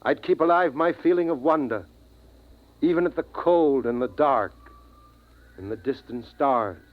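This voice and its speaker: An actor playing a steadfast, philosophical middle-aged father, speaking in an earnest, measured, dramatic 1950s radio style. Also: medium pitch, natural voice. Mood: resolute, reflective. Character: steadfast, philosophical father, last man guarding his family. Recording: dull vintage radio recording.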